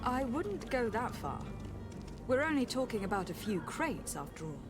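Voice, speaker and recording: A woman's voice: a little high, big pitch swings, moderate pace. An actress playing a courteous, determined noblewoman envoy in a video game, speaking politely and formally. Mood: diplomatic. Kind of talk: video game cutscene acting. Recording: music playing behind the voice.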